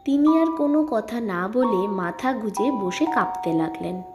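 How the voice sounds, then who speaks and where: A woman's voice: medium pitch, animated, unhurried. A woman narrating a scripted story expressively as the storyteller. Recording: loud music behind the narration.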